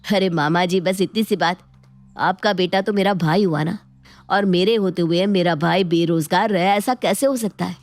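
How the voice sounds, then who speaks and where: A woman's voice: on the low side, normal speed, no echo, soft background music, pitched below the performer's own voice, lightly acted. Woman putting on a scheming young nephew, shift down. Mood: ingratiating. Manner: ingratiating, boastful. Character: scheming, flattering young nephew, eager to please. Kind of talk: animated Hindi moral story dialogue.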